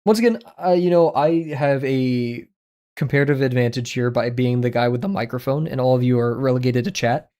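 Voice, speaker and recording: Hombre joven charlando casualmente en directo. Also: male voice, medium pitch, fairly quick, dark tone. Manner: rambling, conversational, frequent fillers, self-deprecating. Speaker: art streamer. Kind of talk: casual livestream chat while drawing. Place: small home room, indoors. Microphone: headset with boom mic.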